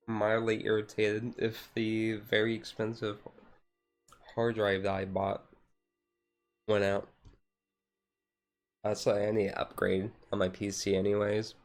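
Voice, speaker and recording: Man, low voice, flat intonation, low-key rambling, hesitant pauses, casual chill stream chat, faint music behind. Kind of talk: casual chat, ASMR-style chill livestream.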